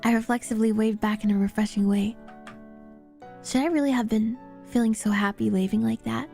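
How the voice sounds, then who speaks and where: Fairly high-voiced woman narrating a story. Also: fairly even intonation, a little fast, vocal fry, mellow, soft background music. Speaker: VTuber reading a story aloud. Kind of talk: first-person dramatic story narration.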